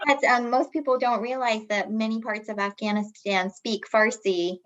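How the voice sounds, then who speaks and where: A woman's voice: a little high, steady tone, moderate pace, fairly bright tone. A woman hosting a conversational interview, asking questions and responding to her guest. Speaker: interviewer, host. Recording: dull, muffled lo-fi sound.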